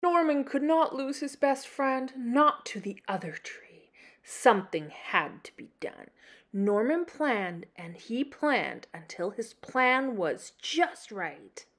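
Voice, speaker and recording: A woman from Canada reading a children's picture book aloud as story-time host, expressively, with dramatic pauses and emphasis. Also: a little high, big pitch swings, fairly bright tone.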